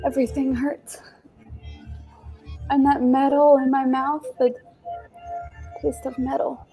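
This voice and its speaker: Brief: actress, shaky young woman in custody, soft music under. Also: high-pitched, little pitch movement, poor audio. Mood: dazed. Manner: shaky, halting. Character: young woman detainee, hurt and dazed.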